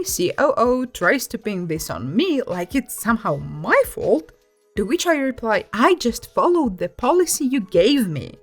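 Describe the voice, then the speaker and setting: A woman narrates written stories in an expressive, emphatic way. Her pitch sits in a middle range for a woman and moves up and down in a very animated way. Music plays behind her voice. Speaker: narrator reading online stories aloud. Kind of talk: scripted storytelling narration. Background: background music.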